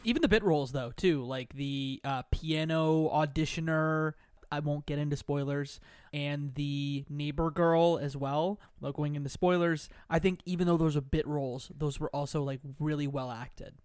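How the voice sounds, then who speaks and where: A man's voice: on the high side, steady tone, moderate pace, darkish tone, clear, resonant tone, dry recording, studio quality. A man chatting casually and enthusiastically as a guest in a podcast discussion.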